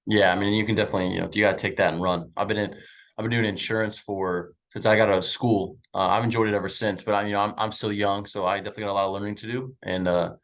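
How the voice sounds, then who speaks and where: A man's voice: fairly low pitch, level tone, rapid-fire, a bit bright. A young man in his twenties, an insurance sales agent, making chatty, upbeat small talk on a video call with many fillers. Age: 20s.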